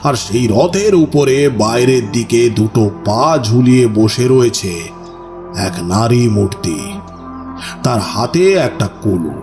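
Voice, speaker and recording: Audio horror drama: male actor as eerie village raconteur, unhurried and deliberate, widely varied intonation, music underneath. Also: mid-range voice, dark tone. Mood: awed, puzzled. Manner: suspenseful, deliberate, dramatic pauses.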